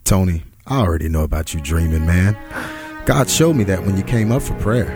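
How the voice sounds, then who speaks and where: An actor playing a pastor who speaks fervently about God's power. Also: male voice, low voice, a little fast, over music. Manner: fervent, preachy. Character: encouraging young pastor. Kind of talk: audio drama acting.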